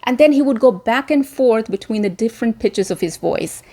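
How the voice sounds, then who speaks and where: A woman's voice: fairly high pitch, expressive, moderate pace, warm tone. Woman presenter giving an emphatic tutorial. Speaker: former media presenter and voice coach hosting tutorial. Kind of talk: scripted instructional video to camera.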